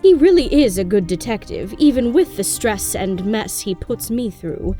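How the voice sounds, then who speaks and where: A woman's voice: mid-range voice, moderate pace, soft background music, close to an everyday voice. A woman voicing a long-suffering, motherly pony landlady, fond but chiding, in an audio drama. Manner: expressive, chiding. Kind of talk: scripted audio drama acting.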